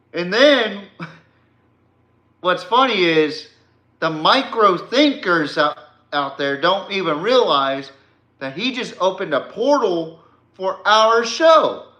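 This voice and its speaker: A man hosting an informal sports podcast, ranting bluntly and mockingly in a halting way with repeated words. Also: fairly high pitch, bright, forceful tone, reverberant. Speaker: podcast host.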